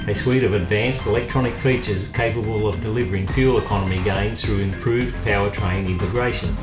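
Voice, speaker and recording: Low-pitched man narrating over guitar music. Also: echoey room. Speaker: narrator of a truck review. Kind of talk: scripted narration.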